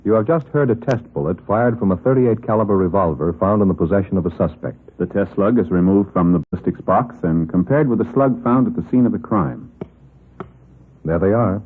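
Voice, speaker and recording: An American man reading a scripted 1950s radio commercial as an announcer, with emphatic, deliberate salesmanship. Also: fairly low pitch, husky. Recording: muffled old radio recording.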